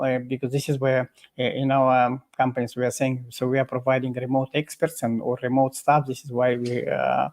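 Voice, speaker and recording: A man being interviewed as a guest over a video call, explaining at length in a halting way full of fillers and restarts. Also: medium pitch, a little mellow. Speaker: guest expert.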